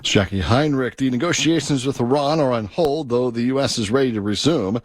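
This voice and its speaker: A man's voice: medium pitch, a little fast, no room sound, studio quality. An American man reading a scripted radio news bulletin as the anchor. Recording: radio broadcast with music underneath. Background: music bed.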